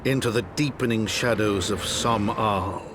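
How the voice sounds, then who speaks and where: An actor playing a solemn chronicler narrating an epic fantasy video game journey in literary phrases. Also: male voice, lowish voice, measured pace, background noise, close to an everyday voice.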